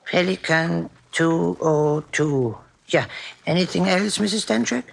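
An actor playing a small, anxious, pleading deli owner in an old-time radio crime drama. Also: male voice, on the high side, measured pace, vocal fry, exaggerated voice. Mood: busy, uneasy. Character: small, nervous deli owner who takes racing bets. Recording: old radio show recording.

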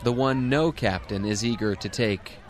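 An American man playing the scene-setting narrator of a radio drama, with measured, theatrical phrasing. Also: medium pitch, noisy background, natural voice. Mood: storytelling, nostalgic.

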